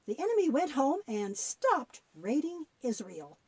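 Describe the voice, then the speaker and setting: A woman's voice: high-pitched, big pitch swings, unhurried, darkish tone, dry recording, exaggerated voice. A woman playing a kindly, folksy grandmother who patiently tells Bible stories in a children's audio drama. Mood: warm, instructive.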